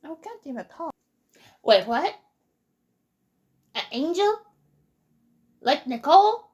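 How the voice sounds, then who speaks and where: A woman's voice: sits high, very animated, slow, darkish tone. A woman streamer giving casual let's play reaction commentary, informal, exclamatory and wry.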